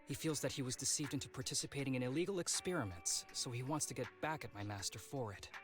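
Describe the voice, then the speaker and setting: A man voicing a young game officer who keeps his secrets behind a casual, theatrical breeziness. His mid-pitched voice is playful and teasing, with music playing underneath. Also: quick pace, a bit bright, natural voice. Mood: guarded, matter-of-fact. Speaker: video game character voice.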